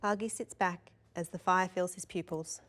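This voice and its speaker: A woman's voice: lowish voice, steady tone, normal speed, a bit bright. A woman reading scene directions as the narrator of a screenplay table read.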